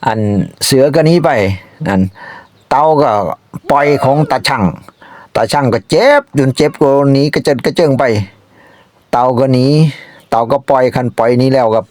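A man narrates a folk tale in an informal, improvised way. His medium-pitched voice moves in halting, repeated phrases and adds spoken sound effects. The sound is dry and close. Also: a little mellow, no room sound. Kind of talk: informal, improvised animal storytelling.

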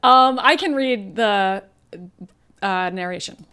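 A woman's voice: mid-range voice, expressive, takes it slowly, sharp tone, smooth. A woman reading parts and narration in a casual table read, on a group video call with other people chattering. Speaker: podcast co-host and script reader.

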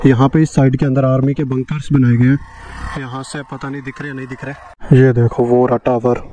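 A man giving a relaxed, casual running commentary while riding a motorcycle on rural roads. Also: mid-range voice. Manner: chatty, relaxed, friendly with locals. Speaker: motorcycle travel vlogger. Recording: muffled mic under loud road and wind noise.

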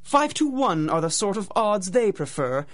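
Theatrical higher-voiced radio drama narrator. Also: male voice, sing-song. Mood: grave, dramatic. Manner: theatrical, measured storytelling. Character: dramatic radio announcer telling a pulp tale. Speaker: announcer and narrator. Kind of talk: rhymed storybook narration in old-time radio drama style.

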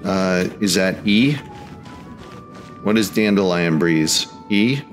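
A man's voice: low voice, slow. Man, casual gaming host, let's play commentary, music behind. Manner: talks back to game characters.

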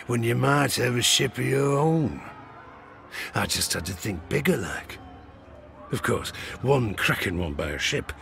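An actor playing a rueful, ruined old harpooner and gambler with a British accent, spinning a folksy, gravelly-voiced yarn in an audio drama. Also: male voice, lowish voice, a bit bright, heavily acted. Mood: wry storytelling. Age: older. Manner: folksy, rustic, rambling tavern yarn-spinning. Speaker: storyteller. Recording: studio drama with music behind. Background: music.

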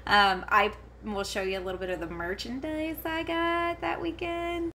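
Bright-voiced woman rambling about a con. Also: sits high, flat delivery, normal speed, a little hiss or hum. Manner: rambling, many fillers and restarts, giggly asides. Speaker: vlogger reviewing a convention. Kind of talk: casual talking-to-camera chat. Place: home, her daughter heard elsewhere in the house.

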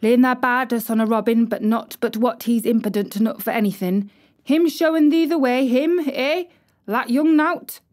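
A woman voicing a grumpy old male gardener with a Yorkshire accent, shouting and scolding in a storybook reading. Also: a little high, normal speed, pitched above the performer's own voice, natural voice. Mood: outraged.

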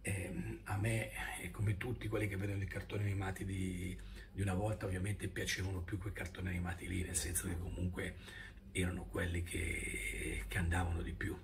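A man's voice: low voice, completely flat delivery, fairly quick, gravelly. A man, rambling casually in a vlog shot on a phone at arm's length. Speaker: video blogger. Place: indoor room. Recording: noisy phone video played back.

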